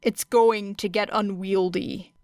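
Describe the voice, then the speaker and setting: A woman's voice: mid-range voice, fairly even intonation, takes it slowly, dry recording, clean studio sound, close to an everyday voice. A woman voicing a weary, guarded male teacher who speaks tersely in an audiobook story. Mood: dark, wary. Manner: muttering, terse, dark.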